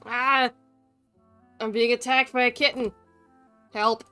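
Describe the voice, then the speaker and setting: A woman live-streaming a game with casual, expressive and sweary commentary, sometimes reading text aloud. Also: a little high, takes it slowly, clear, resonant tone. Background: faint game music.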